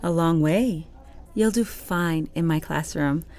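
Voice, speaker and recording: A woman playing a warm, determined schoolteacher of the 1830s in an audio drama, measured and formal. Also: low voice. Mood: kind, firm. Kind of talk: scripted audio drama dialogue.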